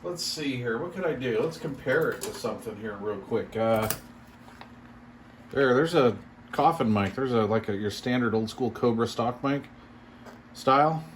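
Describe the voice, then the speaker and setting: A man's voice: medium pitch, normal speed. Impressed man, noisy home-video sound. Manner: impressed, mild exclamations, thinking aloud. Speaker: product reviewer. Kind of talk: casual unboxing commentary. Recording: clear background noise and room ambience.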